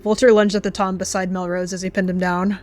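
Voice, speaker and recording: Woman storyteller doing dramatic scripted narration, medium pitched voice, quiet music in the background. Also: level tone, fast talker. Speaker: storyteller and roleplayer.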